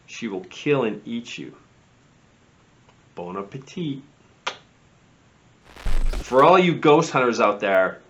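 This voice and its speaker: A man presents eerie lore as a show host. He speaks slowly and theatrically, leaning on words and letting phrase endings drop. The audio is a little dull. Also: medium pitch, fairly bright tone. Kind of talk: presenting spooky lore segments.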